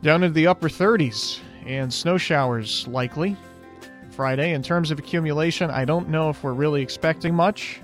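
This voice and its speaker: A male radio host with a slightly high voice, worn thin and tired from talking all day. He chats in an easygoing, meandering way and fills his pauses with "uh". Faint music plays behind him. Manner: easygoing and rambling, with frequent "uh"s. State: tired, fading voice. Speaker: radio call-in show host. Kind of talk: casual call-in radio talk.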